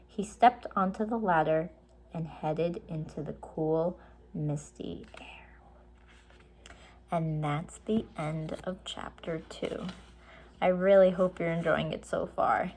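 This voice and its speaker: Slow-paced woman reading a storybook. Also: on the low side, darkish tone, a little hiss or hum. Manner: explains words simply for young listeners. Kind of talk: children's storybook read-aloud.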